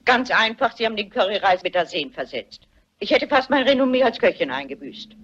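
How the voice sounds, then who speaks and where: A woman's voice: medium pitch, animated, brisk, a bit bright, slightly rough. An actress playing a shrewd, composed elderly lady detective in a radio drama, speaking politely and precisely. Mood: calmly accusing. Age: older. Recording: dull lo-fi audio, music behind.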